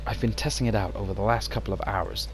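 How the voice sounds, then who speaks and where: A man voicing a cocky, jittery young male hacker on the run, talking fast and stumbling over his words. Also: on the low side, steady tone, over music, lightly acted, poor audio. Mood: scheming. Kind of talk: amateur motion comic voice acting.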